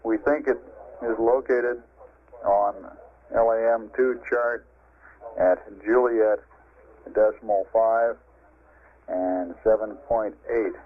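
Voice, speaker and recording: A tinny, narrow-band 1960s radio link carries a man's lowish voice. He talks as a mission controller, in clipped and businesslike procedural phrasing. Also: steady tone, slow pace, long pauses. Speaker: mission control capsule communicator. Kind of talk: procedural space-to-ground radio exchange.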